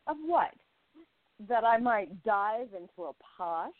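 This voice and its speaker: A woman's voice: medium pitch, expressive, takes it slowly, dry recording, phone-quality sound. A woman playing a flirtatious, catty 1920s gossip columnist in a radio play, arch and theatrical, savoring her words. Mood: teasing. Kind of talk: radio play narration and dialogue.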